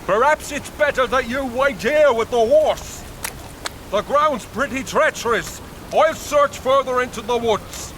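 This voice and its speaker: A dogged, defiant tracker in a gothic audio drama. He speaks slowly and earnestly in a high voice, over clear outdoor background noise. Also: fairly bright tone. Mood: steady. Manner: solemn, plain-spoken, earnest. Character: grave, dogged, defiant tracker serving a doomed lord.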